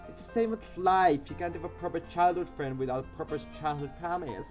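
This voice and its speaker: A man's voice: fairly quick, darkish tone, faint music behind, close to an everyday voice. A man voicing a chirpy teenage schoolgirl in a raised, teasing voice. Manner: teasing, high put-on voice. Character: chirpy teen schoolgirl matchmaker.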